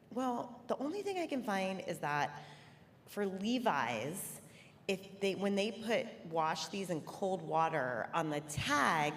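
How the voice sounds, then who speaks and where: A woman's voice: medium pitch, fairly bright tone, slight background noise. A woman moderating a conference panel in a casual, chatty way, with many fillers. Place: large hall on stage. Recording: reverberant hall, faint ambience.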